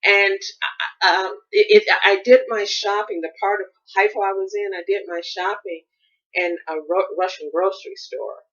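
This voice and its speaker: A woman's voice: medium pitch, fairly even intonation, moderate pace, fairly bright tone, highs cut off. An American woman hosting a solo podcast, thinking aloud in a rambling, reflective monologue with frequent restarts. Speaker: podcast host.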